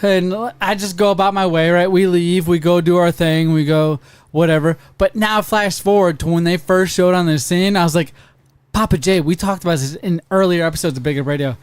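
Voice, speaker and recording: A man in his thirties with an American accent, a podcast host telling a profane, animated story in casual chat. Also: high voice, a little fast, clean tone, dry recording. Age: 30s. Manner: profane, animated storyteller who acts out quoted voices.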